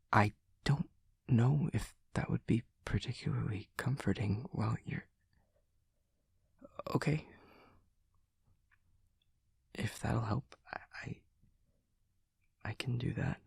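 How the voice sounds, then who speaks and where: Man acting as a low, hushed, awkward demon classmate. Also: dry recording, clean studio sound, full character voice. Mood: earnest, literal. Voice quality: soft, breathy, husky. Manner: hesitant, stammering, stiffly formal and literal. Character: awkward, earnest young male demon classmate, anxious to comfort. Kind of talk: intimate audio roleplay, whispery ASMR-like acting.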